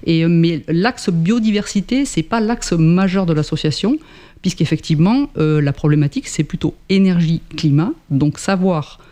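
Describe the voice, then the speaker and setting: Man, fifties, interview guest, hesitant with fillers, slightly high pitch, mellow tone. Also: expressive, normal speed. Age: 50s. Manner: hesitant, many fillers and restarts. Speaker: guest, climate association member. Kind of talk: interview answers.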